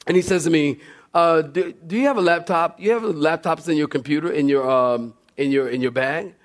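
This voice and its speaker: A man, a pastor preaching through animated, humorous, self-deprecating storytelling, acting out dialogue as he goes. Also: a little high, moderate pace. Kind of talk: sermon storytelling with re-enacted dialogue. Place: church, recorded live.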